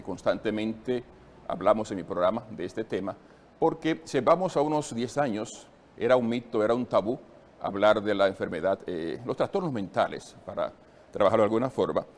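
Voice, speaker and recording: A man's voice: lowish voice, darkish tone, a little hiss or hum. A man hosting an interview show, speaking conversationally with occasional fillers. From Caribbean. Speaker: TV show host.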